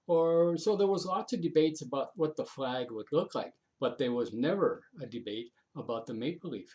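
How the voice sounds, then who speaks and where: A man telling a story informally, in a halting, folksy way with repeats. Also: medium pitch, expressive, dark tone, muffled recording. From Canada.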